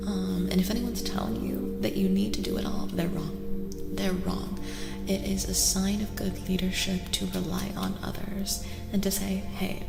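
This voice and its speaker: Woman, ASMR livestream host, soft-spoken, breathy, gentle, soothing repetition, slow delivery, slightly creaky, echoing reverb. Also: medium pitch. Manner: gentle, soothing, repeats phrases softly. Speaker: streamer and tarot reader host. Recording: roomy echo, long reverb, music. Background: clear background music.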